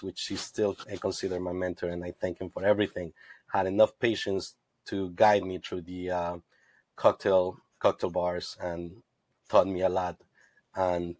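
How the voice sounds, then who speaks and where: A lowish-voiced man from Ecuador recounts his path in the drinks trade as a podcast guest. He talks in an earnest, storytelling way, dotted with "you know". The sound is close and dry, with no room around it. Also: flat delivery, moderate pace, a little mellow, no room sound. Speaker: guest, tequila brand representative. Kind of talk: casual podcast interview.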